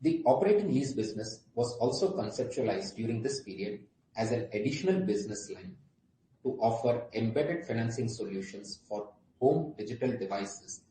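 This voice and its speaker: A man's voice: medium pitch, dark tone. A man with an Indian accent, a company executive giving a formal prepared presentation on an earnings call. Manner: formal, scripted delivery. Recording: roomy echo, faint background noise.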